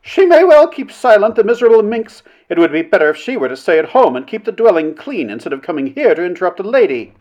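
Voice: mid-range voice, sing-song, a little fast, a little mellow, dull audio, voice pushed up, close to an everyday voice. A narrator voicing a self-important, quarrelsome woman in a story, declaiming with haughty, melodramatic indignation. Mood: furious.

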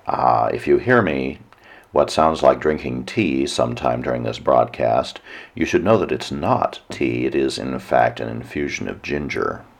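A hoarse man hosting a weekly radio talk show, giving a measured lecture with dry asides. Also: rumbling low. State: hoarse, nearly lost voice. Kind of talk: solo radio talk monologue.

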